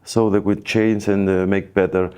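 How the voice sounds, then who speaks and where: A man with a Greek accent explaining things as a guest expert in a podcast interview, in halting, hesitant phrases. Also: sits low, level tone, dark tone, clear, resonant tone. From Greece. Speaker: plastic surgeon, guest expert.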